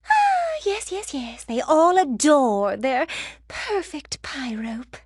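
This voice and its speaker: A woman voicing a vain, gushing gem queen villain in an animated game, theatrical and condescending. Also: high voice, takes it slowly, no echo, studio quality, heavily acted. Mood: gloating, gushing.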